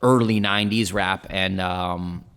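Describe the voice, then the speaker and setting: A man's voice: low-pitched, fairly even intonation, a little slow, fairly bright tone, clean tone, in a large hall. A young man whose first languages are German and Italian, telling his life story in a rambling, slangy way in a casual podcast interview. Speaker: guest rapper.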